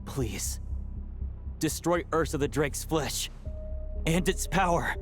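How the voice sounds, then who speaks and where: A man's voice: on the high side, slow pace, faint music behind. An actor playing a grave, defeated man who pleads, in a dramatic anime-style game. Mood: pleading.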